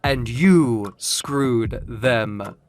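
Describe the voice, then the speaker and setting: A man voicing an elegant, camp fashion boss in an audio drama, effusive, smirking and condescending, with drawn-out emphasis. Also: medium pitch, soft background music. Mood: pointed, teasing.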